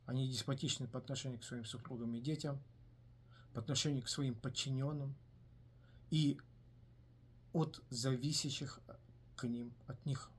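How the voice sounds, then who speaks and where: A man giving a solo video commentary in a measured way, pausing often with hesitant filler sounds between phrases. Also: medium pitch, fairly even intonation, breathy edge. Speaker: commentator on his own video channel. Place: based in the United States.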